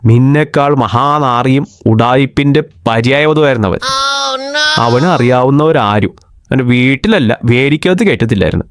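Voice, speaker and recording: A man's voice: medium pitch, animated, normal speed, clean tone, close to an everyday voice. A young man from Kerala voicing a bossy, scheming cartoon friend who lectures the others.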